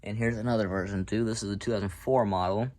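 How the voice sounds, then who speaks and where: A man's voice: lowish voice, fairly even intonation, quick pace, a little mellow. A man giving a casual, unscripted show-and-tell as a hobbyist, rambling in an offhand, self-deprecating way. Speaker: hobbyist showing a radio collection.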